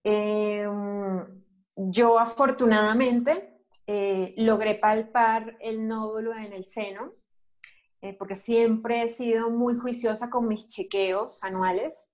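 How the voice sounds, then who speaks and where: A Venezuelan woman in her forties, a guest on an interview, recounting her experience in a steady, storytelling way. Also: fairly high pitch, slow pace. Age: 40s. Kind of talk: video call interview.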